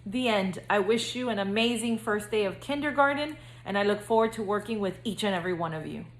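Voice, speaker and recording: A woman school counselor speaking to young children in a gentle, singsong read-aloud storytelling manner. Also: mid-range voice, lively intonation, a little fast, roomy sound, low-quality recording.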